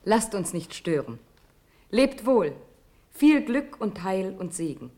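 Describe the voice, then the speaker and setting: A woman's voice: medium pitch, sing-song, takes it slowly, mellow. An actress playing a gracious older noblewoman, an attentive aunt, in a classic radio drama with a courtly, solicitous manner. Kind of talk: classic German radio play stage acting.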